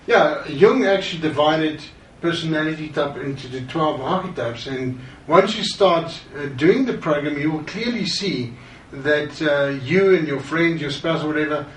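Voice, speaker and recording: A man's voice: a little high, normal speed. A middle-aged man from Africa, co-presenting a course in a conversational way. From South Africa. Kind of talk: course introduction. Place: studio with green-screen backdrop. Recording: roomy, distant, faint hiss.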